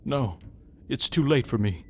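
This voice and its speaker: A man voicing a doomed father turned monstrous, speaking in a solemn, measured way in an amateur manga dub. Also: medium pitch, animated, a little hiss or hum, lightly acted. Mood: grave.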